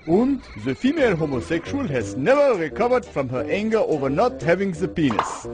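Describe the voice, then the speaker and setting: A man's voice: sits high, sing-song, a little fast. A man playing a pompous old-school psychoanalyst who lectures in an educational cartoon. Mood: pompous, lecturing. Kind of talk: scripted cartoon parody. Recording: music behind the voice.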